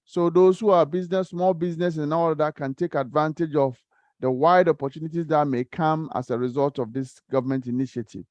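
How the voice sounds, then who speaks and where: A man's voice: on the high side, fairly even intonation, fairly quick, a little mellow, no room sound, dull audio. A man moderating an online community question-and-answer session, politely thanking callers and calling on the next speaker by name. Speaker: forum moderator.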